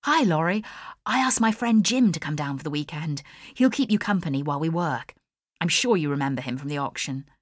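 A woman's voice: sits low, lively intonation, brisk, no echo, studio quality. A woman voicing a well-off man, breezy, welcoming and self-deprecating, in an audiobook. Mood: welcoming, wry.

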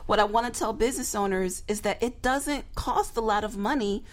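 A woman's voice: a little high, moderate pace. A woman talk show panelist explaining things in a casual, emphatic way.

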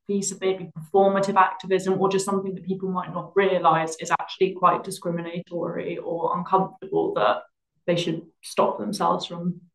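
A woman's voice: fairly low pitch, poor audio. A female-sounding podcast host conducting an interview in a conversational way.

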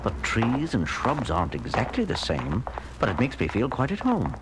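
An actor playing a calm, observant space expedition member in an audio drama, genteel and reflective. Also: male voice, mid-range voice, normal speed. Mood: appreciative. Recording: loud engine noise behind.

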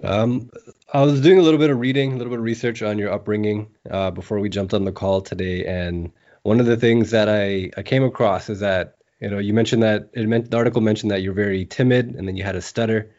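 Male podcast interviewer, brisk delivery, conversational, dull lo-fi call audio. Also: fairly low pitch, fairly even intonation, darkish tone. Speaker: podcast host. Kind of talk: conversational, prepared interview questioning. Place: remote call.

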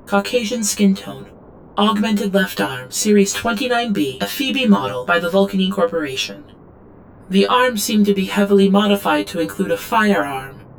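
A woman's voice: medium pitch, creaky, a little hiss or hum, poor audio. An actress playing an obedient female android drone in an audio drama, reciting logs in a clipped, literal, detached way. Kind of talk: audio drama log narration.

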